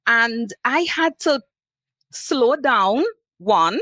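A woman's voice: fairly high pitch, lively intonation, drawn-out words, sharp tone, no room sound. A woman from Trinidad with a Trinidadian accent, a nurse speaking earnestly on a panel and listing points with emphasis. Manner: earnest, emphatic, lists items. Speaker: international nurse guest. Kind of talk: panel talk.

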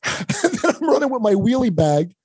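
A man's voice: very high-pitched, sing-song, moderate pace, darkish tone, slightly rough, dry recording. A man hosting a comedy podcast, chatting and joking casually with his guest.

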